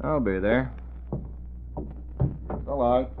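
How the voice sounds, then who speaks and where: An actor playing a confident masked vigilante posing as a crook in an old-time radio drama, firm, terse and commanding. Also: male voice, medium pitch, drawn-out words. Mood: persuasive. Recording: muffled old radio recording.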